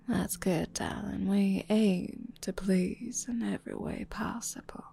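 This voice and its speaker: A woman voicing a flirty, teasing adult server in an intimate audio roleplay, talking in a breathy whisper full of endearments. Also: mid-range voice, dark tone. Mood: languid.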